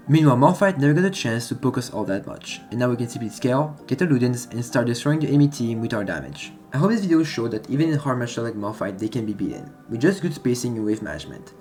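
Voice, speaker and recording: A young man with a French accent giving a calm, explanatory voice-over that breaks down a match he played. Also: medium pitch. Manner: explanatory, slightly non-native phrasing. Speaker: gaming content creator. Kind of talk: gameplay commentary voice-over. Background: background music.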